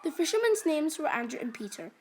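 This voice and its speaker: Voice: high voice, big pitch swings, fast, slight background noise. A narrator reading a scripted story for a school puppet show.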